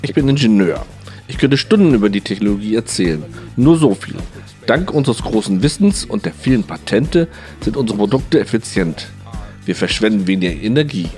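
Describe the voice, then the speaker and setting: A man's voice: on the low side, sing-song, fairly quick, a little mellow. A man reading a scripted voice-over translation of an interview. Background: music.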